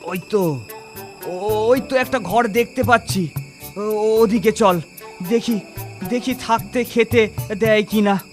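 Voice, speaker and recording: A performer playing a poor, cautious male wanderer in a children's cartoon fairy tale, with an anxious, halting manner. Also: mid-range voice, faint music behind, lightly acted. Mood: earnest.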